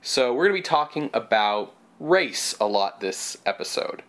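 A young man from Canada, co-hosting a podcast in casual chat, a little stuffed up and nasal, talking in a chatty way with quick asides. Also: mid-range voice, sing-song, fairly bright tone. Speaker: podcast co-host.

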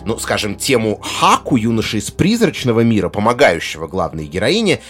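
A man giving an explanatory talk on film music, articulate, with deliberate pauses and emphatic phrasing. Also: on the low side, very animated, a bit bright. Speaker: music lecturer, presenter. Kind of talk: explanatory video essay narration on film music.

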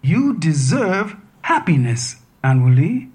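A man voicing a calm home AI assistant. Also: a little high, husky, heavily acted. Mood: soothing. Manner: even, literal, unhurried.

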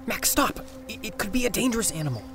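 Wry, expressive teenage boy. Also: high-pitched, fast, over music. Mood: worried. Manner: wry, questioning, sardonic. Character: sceptical, cautious, sardonic teenage boy, reluctant companion. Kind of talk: naturalistic audio drama acting.

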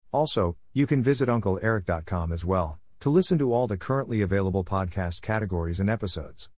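A man's voice: low-pitched, a little mellow, no echo. A man reading a scripted introduction as a podcast host, recorded close to the mic.

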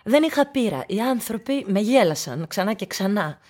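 Childlike unstable widow in a radio drama, middle-aged, agitated n pleading, voice swings all over. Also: female voice, very animated, darkish tone. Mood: aggrieved, agitated. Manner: agitated, pleading, swinging between shrill protest and conspiratorial whispers. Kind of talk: radio play acting.

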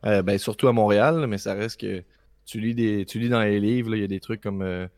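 A man's voice: fairly low pitch, fairly even intonation, a little fast, a little mellow, no echo, studio quality. A man with a Québécois accent hosting a casual, free-flowing podcast chat. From Quebec, Canada. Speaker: podcast host.

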